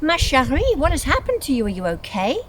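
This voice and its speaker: A woman's voice: high voice, wildly swooping pitch, brisk, background noise, pitched above the performer's own voice. A woman voicing an alarmed, tender storybook mother who cries out anxiously. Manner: crying out anxiously. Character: alarmed, tender mother using French endearments.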